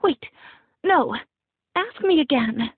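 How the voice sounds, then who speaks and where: An excitable young woman performed by a woman reader gushes through storybook dialogue. Her pitch sits well above the narration and words are heavily overstressed. The sound is dry and close. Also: slow pace, no room sound, narrow, tinny audio. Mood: giddy, overexcited. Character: excitable, gushing young woman best friend.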